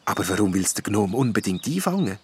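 A man's voice: fairly low pitch, very animated, fast, husky, heavily acted. A man voicing a cheeky young court jester who jokes and dodges questions, in a children's audio story. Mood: sly. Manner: light, joking, sly.

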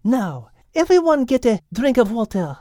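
A man voicing a poised, clever female French cat with measured, polite speech, in an audiobook. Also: very high-pitched, big pitch swings, dark tone, dry recording, studio quality, pitched above the performer's own voice. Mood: warm, kindly. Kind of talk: audiobook character acting.

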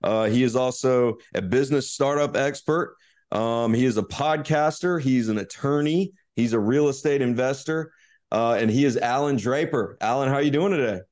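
A man's voice: medium pitch, normal speed, darkish tone. A man hosting a business podcast, opening with a scripted upbeat intro and then chatting casually with a guest, with fillers. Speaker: podcast host. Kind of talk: casual interview chat.

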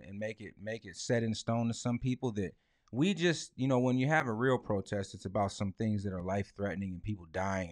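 A man's voice: fairly low pitch, dry recording, studio quality. A man in his late thirties chatting casually as a podcast co-host, blunt and emphatic, with slang and swearing. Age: late 30s.

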